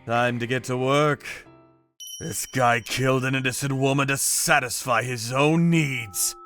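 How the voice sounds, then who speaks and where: A man's voice: mid-range voice, steady tone, unhurried, fairly bright tone, faint music behind. A man voicing a grim, coolly menacing vigilante torturer, narrating his revenge work in a hard-edged, dramatic manga style. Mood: grim, resolute.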